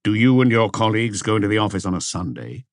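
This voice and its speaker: Audiobook police inspector played by a man: low, unruffled, courteous but persistent interrogator, dry sound. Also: very animated, no echo. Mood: probing. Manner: measured, politely insistent questioning. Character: calm, probing male police chief inspector.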